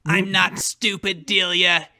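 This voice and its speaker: Man plays bitter young son in fan fiction theatre: high, piercing, dry sound, sarcastic and snarling. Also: steady tone, slow pace, sharp tone, no room sound, heavily acted. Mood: bitter, scornful. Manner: scornful, snarling, dramatic. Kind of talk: fan fiction dramatic reading.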